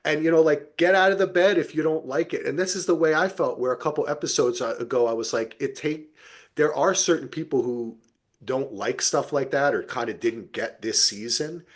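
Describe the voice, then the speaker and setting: Middle-aged male podcast host: husky tone, conversational and enthusiastic, loose unscripted recap chat. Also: on the high side, moderate pace.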